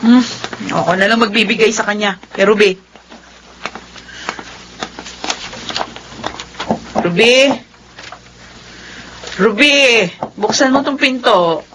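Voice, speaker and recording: A woman's voice: mid-range voice, unhurried, long pauses, lightly acted. A middle-aged woman with a Filipino accent playing an exasperated, nagging mother in a radio drama. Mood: brisk concern. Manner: nagging, emphatic. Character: exasperated, scolding mother of two grown children. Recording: noisy broadcast audio.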